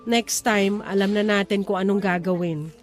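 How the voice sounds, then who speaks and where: A woman's voice: medium pitch, fairly even intonation, normal speed, close to an everyday voice. A woman with a Filipino accent playing a stubborn, protective young wife and mother in a radio-style drama. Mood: weary, worried. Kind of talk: radio-style romance drama acting in dialogue. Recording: music under the voice.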